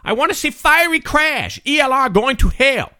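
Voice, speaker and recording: A high, bright, rude foreign jerk. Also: male voice, very animated, normal speed, exaggerated voice. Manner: insulting, cackling, clipped broken grammar. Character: rude, boastful foreign man speaking broken English.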